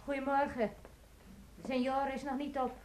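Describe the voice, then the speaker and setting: Actress, obliging household woman, fairly high voice, radio drama, very noisy old recording. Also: fairly even intonation, normal speed, lightly acted. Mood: polite. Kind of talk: Dutch radio play acting.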